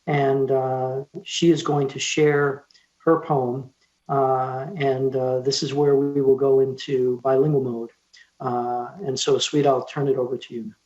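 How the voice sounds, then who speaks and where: A man hosting an event and introducing speakers informally, with halting phrasing. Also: mid-range voice, flat delivery, darkish tone, muffled recording. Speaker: event host.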